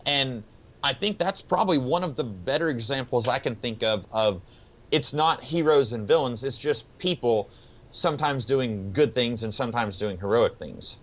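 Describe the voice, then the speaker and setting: A man's voice: mid-range voice, animated, normal speed, highs cut off. A man co-hosting a podcast, explaining his thoughts in a casual, rambling, tentative way. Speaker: podcast co-host. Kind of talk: casual discussion of books and comics.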